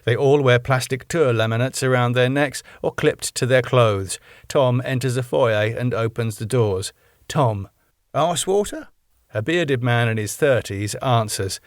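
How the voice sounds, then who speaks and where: A man reading aloud his own script, calmly narrating scene directions. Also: mid-range voice, a little slow, no echo, studio quality. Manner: plain, even script narration.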